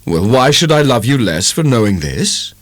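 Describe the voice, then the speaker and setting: A man voicing an ardent, tender young gentleman suitor in a dramatic audiobook, coaxing and affectionate. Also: medium pitch, sing-song, normal speed, no echo, pitched above the performer's own voice. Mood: relieved, amused.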